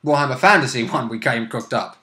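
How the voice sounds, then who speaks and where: A man's voice: medium pitch, animated, fairly quick, sharp tone. A man chatting casually about a tabletop roleplaying game as a player and co-host. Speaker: player co-hosting a game recap. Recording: echoey room.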